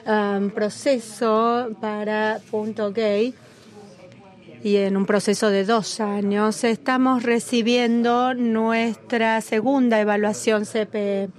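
A woman interpreting a formal meeting as it happens, in a measured, halting delivery that stops often to catch up with the speaker. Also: a little high, level tone. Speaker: simultaneous interpreter at a policy meeting.

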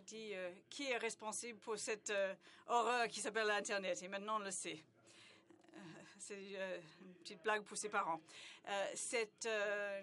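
A woman's voice: medium pitch, a bit bright. A woman gives live simultaneous interpretation of a conference speech. She hesitates often and fills the gaps with stalling sounds. She restarts phrases mid-word, then carries on. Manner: halting, many hesitations and restarts.

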